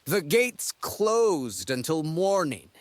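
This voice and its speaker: A man's voice: on the high side, big pitch swings, slow pace, dry recording. A man playing a town gate guard in a fantasy audio drama, calling out in a projected, official manner. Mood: stern, challenging. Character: male town gate guard, commanding yet deferential.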